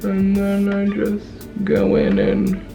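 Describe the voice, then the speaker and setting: A woman's voice: mid-range voice, sing-song, takes it slowly, creaky, dark tone, faint music behind. A young woman from Chicago with a Midwestern accent, chatting casually and playfully while she does her makeup. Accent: Midwestern American. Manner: bubbly, playful, self-mocking. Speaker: video host.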